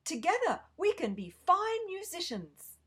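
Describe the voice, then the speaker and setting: A woman voicing a hopeful male donkey in a storybook, loud and cheerful. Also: extremely high pitch, big pitch swings, measured pace, pitched above the performer's own voice, full character voice. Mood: eager.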